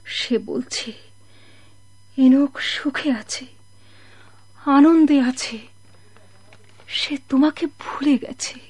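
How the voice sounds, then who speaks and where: Casting: anguished mother in radio melodrama, higher voice, slow, halting, breathy delivery. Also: a little mellow, no room sound. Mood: anguished, resolving. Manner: halting, anguished, breathy pleading with repeated words. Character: sorrowful, torn mother waiting for a lost husband. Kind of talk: melodramatic radio drama acting.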